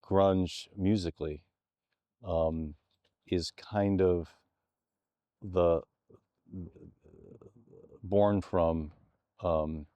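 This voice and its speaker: A man answering interview questions thoughtfully, pausing often with ums. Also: deep voice, fairly even intonation, mellow, dry recording.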